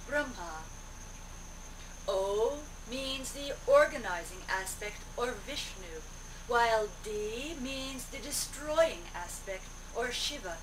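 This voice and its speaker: A woman with a fairly high voice delivers a spiritual discourse at a slow pace. She speaks in deliberate, declarative short phrases. The amateur recording is noisy and picks her up from a distance. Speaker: speaker relaying a guru's teaching aloud.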